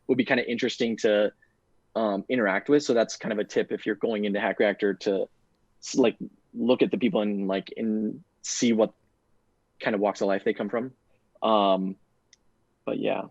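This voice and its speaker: A man's voice: on the low side, fairly even intonation, moderate pace, pauses often, no echo. Guy rambling with lots of "like", muffled lo-fi sound. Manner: rambling, heavy use of "like". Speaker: guest, bootcamp graduate. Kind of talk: casual interview answer.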